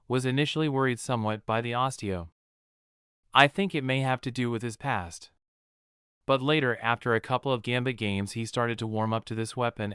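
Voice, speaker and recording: Smooth, very clear man narrating. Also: mid-range voice, a bit bright, no room sound, studio quality. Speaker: player narrating an in-character game audio log. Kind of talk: scripted first-person fan narration.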